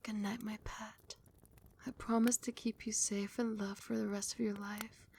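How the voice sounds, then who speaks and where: A woman voicing a doting, possessive female vampire queen in an ASMR roleplay, speaking in a soft, soothing whisper close to the mic. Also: mid-range voice, level tone, measured pace, creaky, a little hiss or hum. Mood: tender.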